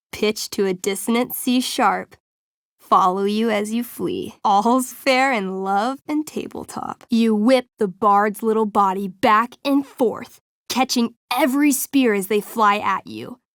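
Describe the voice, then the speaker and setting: A young female game master in a video game, telling a fantasy tabletop adventure. She takes her time at an unhurried pace, playful and theatrical, savoring every gory detail. The sound is close and very dry, with a middle-pitched voice. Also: creaky, a bit bright, dry recording. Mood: savoring gore. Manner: theatrical storytelling, deliberate, savoring vivid details. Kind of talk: dramatic tabletop game narration, video game acting.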